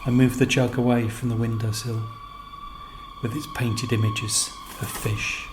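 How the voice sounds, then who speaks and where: A man reading scripted literary narration as a storyteller, in measured, deliberate phrases with pauses. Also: medium pitch, little pitch movement. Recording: music under the voice. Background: music.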